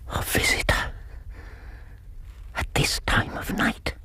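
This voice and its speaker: An actor playing an anxious, loyal British gentleman accomplice in a radio drama, earnest and breathy. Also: slow pace, dry recording, whispered. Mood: puzzled, whispering.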